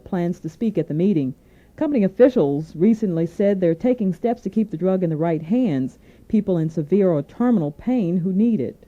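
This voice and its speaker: A woman anchoring a scripted radio newscast, reading in a formal, measured way. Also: medium pitch, warm tone. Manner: formal, measured newsreading delivery. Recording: narrow tinny AM radio aircheck.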